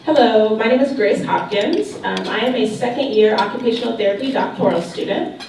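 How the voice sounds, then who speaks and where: Nervous young woman in a boomy hall. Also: brisk, gravelly, darkish tone, slight background noise. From Indiana. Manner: nervous, stumbling at first. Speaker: occupational therapy student panelist. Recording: echoey banquet hall, distant mic, dish clatter. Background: cutlery and dishes clinking.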